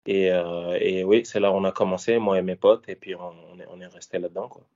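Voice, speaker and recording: A man's voice: low-pitched, steady tone, fairly quick, mellow. A man in his thirties from Paris, a former fighter and coach, answering interview questions casually with lots of fillers and restarts. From France, Paris. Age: 30s. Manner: hesitant, many fillers and restarts. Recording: dull lo-fi audio.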